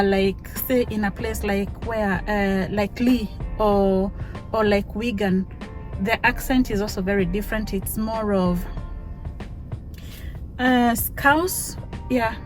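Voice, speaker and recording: A woman's voice: fairly high pitch, low-quality recording. A middle-aged woman from Africa, English not her first language, chatting casually and laughing easily as she drives. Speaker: vlogger. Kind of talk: casual vlog while driving. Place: in a car on the road. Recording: music playing under the voice.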